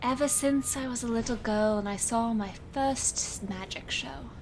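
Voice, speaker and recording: A woman's voice: fairly high pitch, measured pace, a little mellow, a little hiss or hum. A woman playing a proud, intense stage illusionist who narrates her own magical story in a theatrical, earnest audio drama style. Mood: reflective, earnest. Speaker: narrator and lead character. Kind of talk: scripted first-person audio drama narration.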